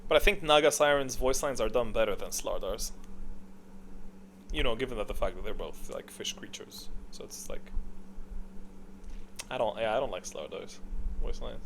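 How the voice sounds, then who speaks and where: A man's voice: on the low side, normal speed, gravelly, pauses often, a bit bright, a little hiss or hum. A man giving casual, opinionated tier-list commentary as a gaming video creator, musing aloud and questioning himself as he goes.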